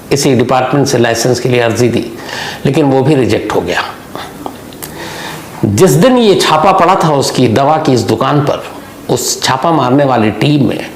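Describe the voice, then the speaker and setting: Male true-crime host, gravelly and explanatory, telling it unscripted in a reverberant room. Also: mid-range voice. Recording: echoey room, faint background noise.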